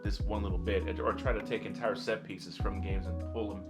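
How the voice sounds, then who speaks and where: A man co-hosting a podcast in casual chat, haltingly rephrasing as he thinks aloud. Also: fairly low pitch, fairly even intonation. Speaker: podcast co-host. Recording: guitar music playing under the voice. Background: guitar music.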